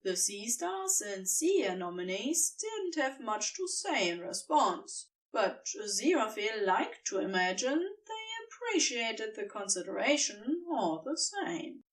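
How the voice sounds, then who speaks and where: Narrator wanted: woman, highish voice, expressive and slow-paced, reading a story, slightly off the mic. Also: far from the mic, rough recording.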